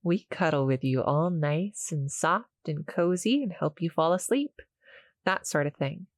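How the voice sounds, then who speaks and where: Woman as a small cuddle-monster girl, shy, friendly, soft-spoken, stammering, slightly low female pitch, dry intimate sound, audio roleplay. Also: lively intonation, no echo. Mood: friendly, reassuring. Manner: nervous, halting, stammering, soft-spoken explaining. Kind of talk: roleplay audio acting addressed to the listener.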